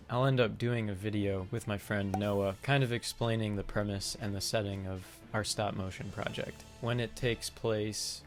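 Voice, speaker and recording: A man presenting a vlog to his viewers as an amateur voice actor. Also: lowish voice. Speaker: video creator and amateur voice actor.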